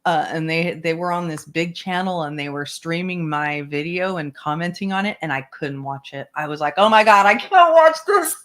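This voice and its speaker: A woman's voice: fairly low pitch, normal speed, hardly any pauses. Chatty woman hosting a livestream. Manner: rambling, animated, laughs often. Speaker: book club host. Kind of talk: casual livestream chat.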